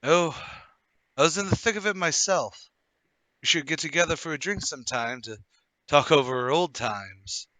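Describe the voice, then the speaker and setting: Radio play: male actor as jovial old-pal male veteran, high bright voice, put-on accent, animated. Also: normal speed, no room sound, low-quality recording. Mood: hearty, chummy. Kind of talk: radio-play table read.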